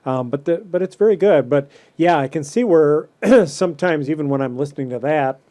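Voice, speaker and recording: A man's voice: on the high side, normal speed, dark tone. A man hosting a casual podcast, chatting in a friendly, hesitant way with many "uh"s. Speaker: podcast host.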